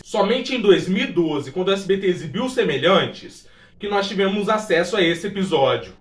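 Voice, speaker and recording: High-pitched Brazilian man in a roomy space. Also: a bit bright. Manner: informal, exclamatory, talks directly to viewers. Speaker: YouTube presenter of a video essay on a TV sitcom. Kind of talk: casual scripted narration with chatty asides. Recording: roomy echo, distant from the mic.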